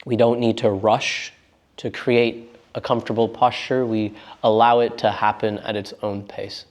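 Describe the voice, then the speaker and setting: Gentle male meditation teacher. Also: lowish voice, flat delivery, measured pace. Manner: gentle, unforced, reassuring. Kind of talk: guided meditation instruction.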